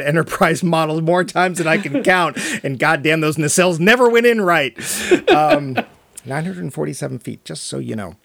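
A man co-hosting a podcast, reviewing a film in casual chat, animated and jokey, acting out mock quotes. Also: medium pitch, vocal fry, no echo. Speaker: podcast co-host.

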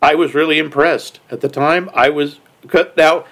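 A man co-hosting a podcast, sharing his view of a film in casual chat, easygoing and measured. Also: a little high, steady tone, fairly bright tone. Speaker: podcast co-host.

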